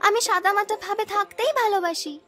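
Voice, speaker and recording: A woman's voice: very high-pitched, steady tone, fairly quick, a bit bright, faint music behind. A woman voicing a humble, sweet, dutiful young village girl in a cartoon story, speaking meekly and earnestly. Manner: meek, earnest. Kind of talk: Bengali cartoon story dubbing.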